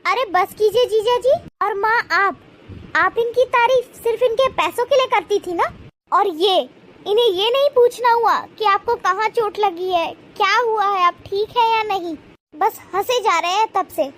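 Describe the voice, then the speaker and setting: A woman playing an indignant young married daughter who speaks up reproachfully in an animated moral story. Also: very high voice, normal speed. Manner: pleading, reproachful.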